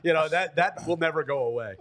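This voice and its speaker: A man's voice: on the high side, fast, fairly bright tone, slight background noise. A man who works as a hockey broadcaster, chatting casually as a guest and thinking aloud as he talks. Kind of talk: casual sports talk interview.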